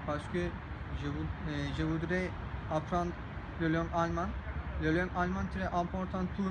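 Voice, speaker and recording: A young male law student answering interview questions outdoors, halting and searching for his words. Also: on the high side, flat delivery. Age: young adult. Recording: outdoor street noise.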